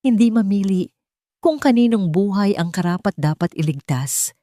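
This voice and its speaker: A principled young female nurse, as a woman narrator plays her, earnest and firm with a slight tremble of resolve. Her voice is mellow and lowish, heard close and dry in a storybook drama. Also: animated, normal speed, no room sound, lightly acted.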